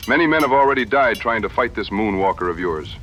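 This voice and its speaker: A seasoned frontier hunter, acted by a man in an audio drama. His voice sits on the low side with a clear forward edge. He lays things out calmly and with determination. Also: slight background noise. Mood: grave, resolute. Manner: measured, plain-spoken, determined.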